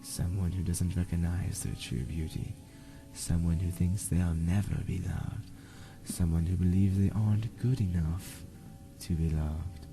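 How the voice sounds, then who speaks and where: Casting: man to play predatory vampire suitor, audio drama, hushed breathy whisper, very slow, passionate and romantic. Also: sits low, fairly even intonation, soft background music. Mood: seductive, tender. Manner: slow, intense, romantic wooing. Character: seductive, passionate male vampire stalker, smooth predatory lover.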